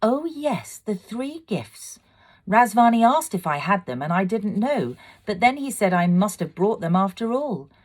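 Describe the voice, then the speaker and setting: An eager young storybook girl, played by a woman, pitched high. She talks in an animated, earnest way. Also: measured pace. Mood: eager, puzzled. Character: bright, eager young girl, daughter of a firework maker.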